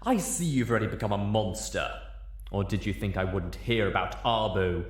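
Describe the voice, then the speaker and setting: A man's voice: on the low side, moderate pace, reverberant, lightly acted. A man voicing a mocking, menacing male pony villain in a story.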